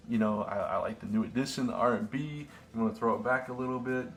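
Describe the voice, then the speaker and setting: A slightly hoarse young man talking casually to camera in a vlog, earnest and rambling with lots of fillers. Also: medium pitch, fast, a bit bright, faint music behind. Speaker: vlogger.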